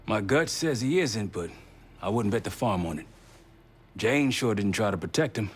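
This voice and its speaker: An actor playing a wary male intelligence operative with a husky voice in a game cutscene. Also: fairly low pitch, lively intonation, moderate pace. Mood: pensive, wary. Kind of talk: restrained cinematic game acting.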